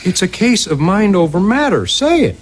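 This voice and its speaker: A man's voice: sits high, sing-song, normal speed. A middle-aged man playing a cheerful, overly positive father in a 1980s sitcom, teasing and lecturing his family. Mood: upbeat, fatherly. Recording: noisy old TV soundtrack.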